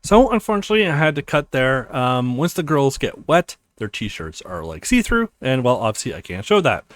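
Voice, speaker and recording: Man chatting casually, very lively pitch. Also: normal speed, no echo. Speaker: let's play host. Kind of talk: casual game playthrough commentary.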